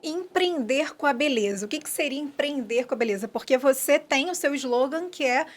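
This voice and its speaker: A woman's voice: high voice, lively intonation, moderate pace. A woman hosting an interview podcast, with upbeat, polished introductions. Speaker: podcast host.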